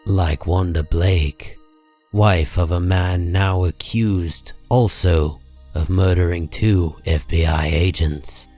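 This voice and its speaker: A man narrating a comic book drama from a script in a grave, deliberate manner. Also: low-pitched, steady tone, dark tone, soft background music.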